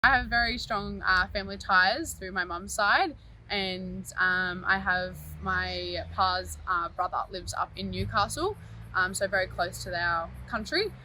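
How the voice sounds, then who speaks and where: Bright-voiced young Australian woman. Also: medium pitch, a little hiss or hum, low-quality recording. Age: young adult. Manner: measured, explaining patiently. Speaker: interviewee. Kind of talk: casual interview answers.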